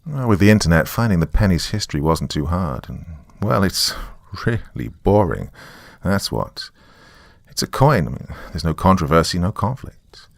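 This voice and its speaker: A man's voice: sits low, big pitch swings, normal speed, vocal fry, no room sound, heavily acted. A man playing a wry, jaded, middle-aged divorced small-town guy, telling a horror story conversationally in the first person with dry sarcasm. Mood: wry, confiding. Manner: sardonic, dry, confiding.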